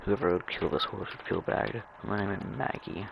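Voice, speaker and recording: A young man chatting casually and rambling over his gameplay, halting and stammering as he repeats words. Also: deep voice, fairly even intonation, gravelly, heavy background noise. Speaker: gamer narrating own gameplay.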